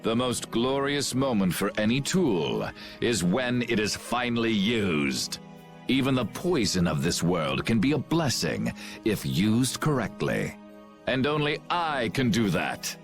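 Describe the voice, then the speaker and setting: A deep, gloating villain. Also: male voice, big pitch swings, measured pace. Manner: cold, gloating, mocking laughter. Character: scheming ruthless male commander, middle-aged. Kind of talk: game cutscene voice acting. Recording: game audio with music behind.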